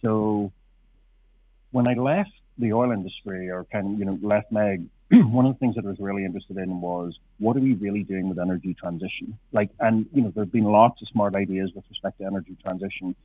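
A middle-aged man with an Irish accent, a company CEO answering questions at a conference presentation, halting with many restarts. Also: lowish voice, dry recording. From Ireland. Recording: husky voice over a tinny phone-like line.

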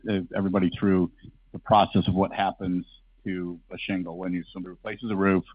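A man moderating a conference session, introducing the company and asking questions briskly. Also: low-pitched, little pitch movement, slightly rough, no room sound. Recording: dry, dull lo-fi sound.

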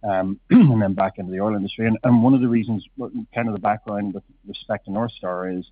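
A middle-aged man with an Irish accent, a company CEO answering questions at a conference presentation, halting with many restarts. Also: on the low side, steady tone. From Ireland. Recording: husky voice over a tinny phone-like line.

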